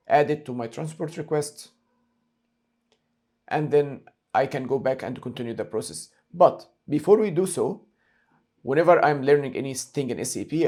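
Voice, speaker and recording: A man narrating a screen-share software tutorial as an instructor, explaining each step methodically and repeating key points. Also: mid-range voice.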